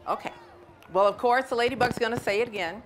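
A woman's voice: a little high, quick pace. A middle-aged American woman reading a picture book to children, conversational, explaining and checking with her listeners. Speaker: storyteller. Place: outdoors in a garden. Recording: clear outdoor ambient noise.

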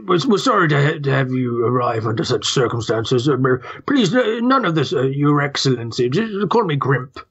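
A man's voice: mid-range voice, big pitch swings, moderate pace, hardly any pauses, a bit bright, slightly rough, muffled recording, exaggerated voice. A man voicing a grumpy, flustered old toad who leads a small town, hesitant and stammering, with a strained throaty voice. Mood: flustered, polite.

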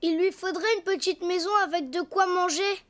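A performer voicing an eager, tender little boy donkey in a French preschool cartoon, earnest and expressive. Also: very high voice, normal speed. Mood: concerned. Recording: music behind the voice.

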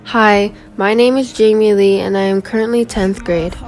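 A fifteen-year-old girl from Guam reading her autobiography aloud as a school presentation, in an earnest, steady voice. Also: medium pitch, normal speed. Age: teenager, 15. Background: background music.

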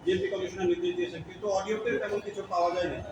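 A man's voice: high-pitched, little pitch movement, very fast, muffled recording, background noise. A man with a Bangladeshi accent questioning an official as a news reporter. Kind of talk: press questioning. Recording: distant, echoey, clear crowd behind.

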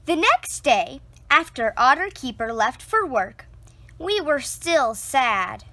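A woman reading a children's picture book aloud, slow and expressive, close to the camera indoors. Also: no echo. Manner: slow, expressive storytelling with lively pitch.

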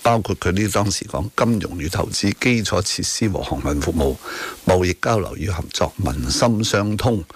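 A man's voice: sits low, husky. A man with a Hong Kong Cantonese accent hosting a radio talk show, giving sardonic political commentary in a didactic, corrective way. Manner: didactic, corrective, sardonic, often ending on tag questions. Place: radio studio.

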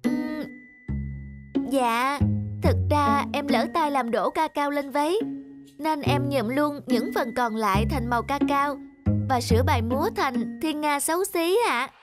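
A woman's voice: sits high, measured pace. A woman voicing a kind little girl who studies ballet, sweet and eager. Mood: shy. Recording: music playing underneath.

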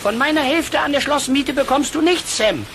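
A man's voice: very high voice, moderate pace. A man playing a stingy, indignant, spluttering little cartoon hunter in a children's audio play. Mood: smug, stingy. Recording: very noisy old cassette.

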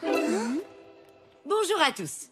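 A woman's voice: sits high, very animated, drawn-out words, over loud music, full character voice. A woman playing a kind primary school teacher in a children's cartoon, polite and projecting to a class. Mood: pleasant. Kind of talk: animated children's cartoon acting.

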